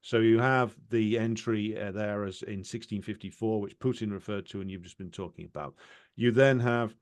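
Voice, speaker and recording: A man with a fairly low voice hosts a talk on history. He speaks conversationally, stopping and restarting his sentences, with many fillers. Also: moderate pace. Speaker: interviewer. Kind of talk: casual political discussion.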